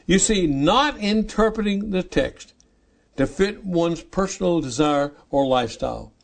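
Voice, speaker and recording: A man in his nineties preaching a sermon as pastor, repeating key phrases slowly and with emphasis. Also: high-pitched, expressive, creaky. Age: 90s.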